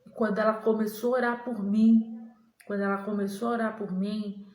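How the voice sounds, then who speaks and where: A young woman giving a slow, earnest personal religious testimony to the camera, halting and restarting as she recalls things. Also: mid-range voice, flat delivery, darkish tone, reverberant. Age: young adult.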